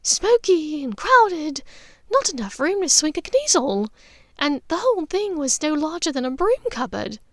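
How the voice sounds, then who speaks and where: Woman voicing a high, refined, wistful witch. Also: no echo, clean studio sound, full character voice. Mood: amused, fond. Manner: precise, measured, expressive. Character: refined, wistful middle-aged witch, emotional mother and sister. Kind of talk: audiobook character dialogue.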